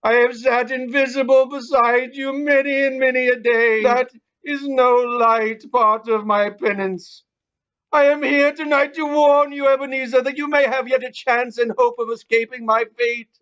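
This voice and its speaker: A man's voice: extremely high pitch, steady tone, moderate pace, fairly bright tone, clean tone, muffled recording. A man playing a doomed, solemn ghost who gives grave, declamatory warnings in an audio play. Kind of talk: audio play acting of a classic ghost story.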